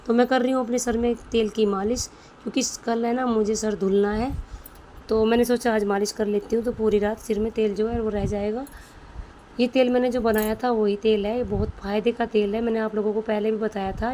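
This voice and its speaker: A woman with a mellow, slightly dark voice and a sore throat, chatting in a homely way straight to her viewers. There is faint noise behind her. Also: a little high. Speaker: vlogger. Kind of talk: casual home vlog voice-over.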